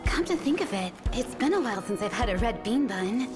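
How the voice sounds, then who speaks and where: A woman's voice: fairly high pitch, very animated, a little fast. An actress playing a polite, caring, dutiful young woman in an anime game trailer, a little flustered. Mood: composed, musing. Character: gentle, dutiful young woman, a polite deputy to her chief. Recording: loud music behind the voice.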